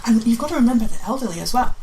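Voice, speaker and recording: Woman, chatty interview guest, rapid delivery, mid pitch, clear background noise. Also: lively intonation, dark tone, low-quality recording. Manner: chatty, adds tag questions. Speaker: guest, amateur pantomime director. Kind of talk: casual chat.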